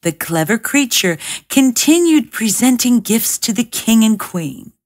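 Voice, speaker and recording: A woman's voice: on the high side, sing-song, a little slow. A woman narrating a scripted fairy tale as an audiobook reader.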